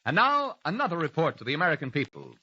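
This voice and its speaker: A man's voice: fairly high pitch, huge pitch swings, fast, husky. A male announcer giving an emphatic vintage radio sponsor read and show opening. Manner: bright, emphatic sales delivery. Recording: muffled old radio broadcast.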